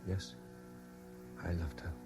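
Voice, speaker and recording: An actor with a British accent playing a tormented, obsessive lover in a brooding, deliberate radio drama style. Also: male voice, music in the background, natural voice. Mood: broken, yearning. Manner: brooding, deliberate, intensely emotional. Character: tormented, obsessively lovestruck man confessing his cowardice. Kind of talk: BBC radio drama acting. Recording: hissy 1970s radio broadcast recording.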